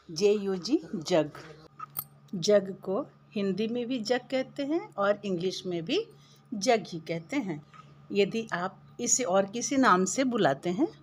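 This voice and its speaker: A woman teaching young children a school lesson, patiently explaining and spelling words out letter by letter. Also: mid-range voice.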